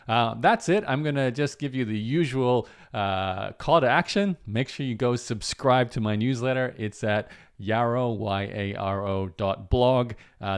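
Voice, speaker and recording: A man from Australia with a Canadian accent, talking casually to camera as host of his channel in a relaxed, rambling way with frequent fillers. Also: lowish voice, smooth. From Brisbane, Australia. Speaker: online entrepreneur and channel host.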